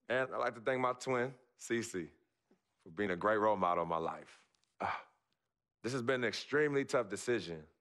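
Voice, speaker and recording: A relaxed basketball prospect. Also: male voice, low-pitched. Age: young adult. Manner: relaxed, street slang. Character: easygoing high school basketball star.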